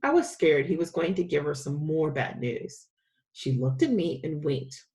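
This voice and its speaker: Lady teacher reading a kids book out loud, lowish voice that moves around a lot. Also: sing-song, moderate pace. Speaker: teacher reading aloud to pupils. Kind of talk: expressive children's story read-aloud.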